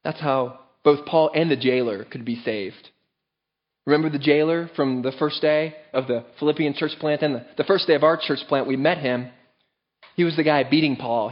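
Earnest preacher man, slightly muffled recording. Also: mid-range voice. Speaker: pastor. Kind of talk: sermon.

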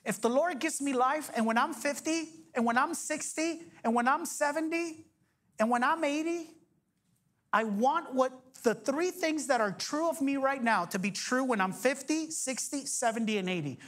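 A man in his forties preaching a sermon as pastor, energetic, joking and firing off rhetorical questions. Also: extremely high pitch, moderate pace. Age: 40s.

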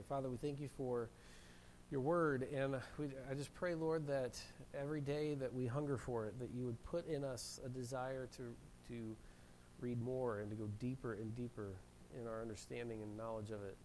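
A male pastor preaching a sermon in a church, hoarse from a cold and often clearing his throat. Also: medium pitch, steady tone, moderate pace, a little mellow, slight background noise, poor audio. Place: church sanctuary. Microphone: handheld mic.

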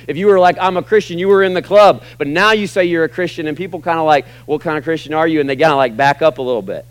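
Brief: middle-aged male pastor from the US, fairly high voice, hammering key words then dropping low, dry close recording. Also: clear, resonant tone, no echo. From United States. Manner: emphatic preaching, swinging between loud punches and quiet asides. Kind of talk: sermon. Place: church sanctuary.

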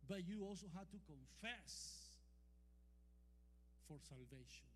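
A man's voice: high voice, lively intonation, a bit bright. A man from Costa Rica with a Costa Rican Spanish accent, preaching a sermon and calling for amens from the congregation. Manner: calls and responses, drawn-out emphatic scripture quotes. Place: church hall. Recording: long hall reverb, faint hum.

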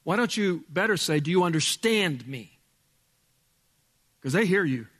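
A male pastor with a loud voice preaching a sermon in a church, emphatic, dropping from booming to hushed. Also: expressive, measured pace, big-room sound. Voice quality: loud speaking voice. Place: church sanctuary with sound board.

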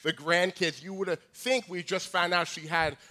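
Pastor guy in his 40s, high voice, bright and jokey, keeps restarting sentences. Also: steady tone, moderate pace. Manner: joking, conversational, many restarts. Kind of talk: sermon with humorous asides.

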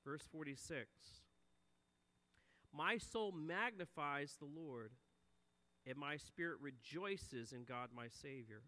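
A man's voice: a little high, a bit bright. A man in his forties preaching a sermon, earnest, swelling and dropping his volume for emphasis. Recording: long hall reverb.

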